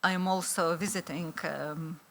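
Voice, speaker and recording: A woman's voice: on the low side, steady tone, moderate pace, fairly bright tone, a little hiss or hum. A middle-aged woman from Lithuania with a Lithuanian accent, giving an academic conference talk in a chatty way with frequent ums and restarts. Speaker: conference speaker, law professor.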